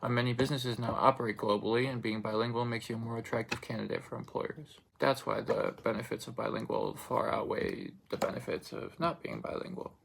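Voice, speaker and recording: A man's voice: medium pitch, fairly even intonation, a little fast, vocal fry, hardly any pauses, low-quality recording. A young man whose first language is Russian, giving an informative speech in a loose, rambling way full of fillers and restarts. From Russian-speaking country. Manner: loose, filler-heavy delivery with many restarts.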